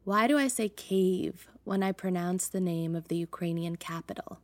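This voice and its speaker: A woman's voice: lowish voice, moderate pace, darkish tone, dry recording. A woman raised in the United States, whose first language was Russian, hosting and narrating a podcast in a conversational, reflective way. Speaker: podcast host.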